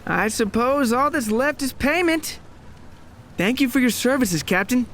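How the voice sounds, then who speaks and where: A man's voice: very high-pitched, lively intonation, unhurried, a bit bright, a little hiss or hum, pitched above the performer's own voice, full character voice. A man voicing a murderous, gleefully polite villain in an audio horror story, grandiose and taunting in his courtesy. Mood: smug, commanding.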